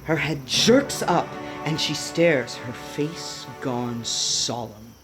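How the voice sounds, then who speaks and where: A woman's voice: very deep voice, takes it slowly. A woman narrating a radio play from a script, setting out each scene as storyteller. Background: music.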